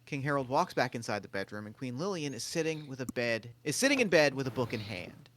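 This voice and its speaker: A reader calmly narrating stage directions at a live table read. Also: medium pitch, fast talker. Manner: plain, matter-of-fact.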